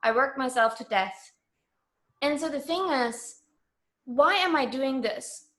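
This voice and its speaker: A woman with a high voice, speaking as a language. She talks like a guest in a casual interview, sure of herself and informal. Her delivery is emphatic as she explains her views. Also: animated, takes it slowly, fairly bright tone. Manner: emphatic, self-assured, informal.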